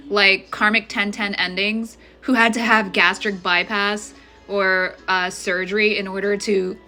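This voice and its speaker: A woman's voice: medium pitch, sharp tone. A woman from Ethiopia talking straight to camera in a vlog, emphatic and indignant, often checking in with the viewer. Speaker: vlogger. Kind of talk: direct-to-camera monologue.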